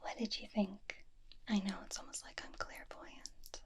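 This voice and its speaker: A flirty, free-spirited witch, acted by a woman, whispers softly and slowly, as if confiding in one person. Her breathy ASMR roleplay delivery is intimate, teasing and spontaneous. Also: mid-range voice, level tone. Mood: teasing, intrigued.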